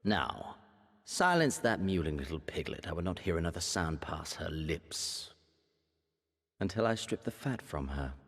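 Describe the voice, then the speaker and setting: A menacing imperial warlord for a game cutscene. His voice sits very low and husky, rolling through a long hall reverb. Every phrase is haughty, measured and coldly predatory. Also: sing-song, fairly bright tone, heavily acted. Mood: cruel, quiet threat. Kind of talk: dramatic video game cutscene acting.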